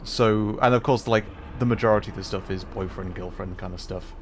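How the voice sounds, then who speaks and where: A man's voice: lowish voice, brisk. A man livestreaming a video game, giving casual, rambling commentary with hesitant, amused asides. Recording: noisy stream with game ambience.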